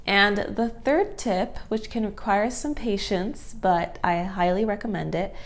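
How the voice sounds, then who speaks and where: A woman's voice: medium pitch, measured pace. A woman in her twenties with a nasal, stuffed-up voice from allergies, chatting casually to camera and giving tips. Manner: chatty, friendly, many fillers like "like" and "so". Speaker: thrifting vlogger. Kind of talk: casual vlog talk to camera.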